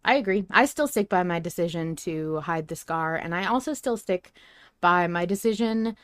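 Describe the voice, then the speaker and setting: A woman's voice: fairly low pitch, animated, moderate pace, fairly bright tone, dry recording, clean studio sound. A woman hosting a podcast, talking casually and with plenty of opinions in a fan discussion. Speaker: podcast host.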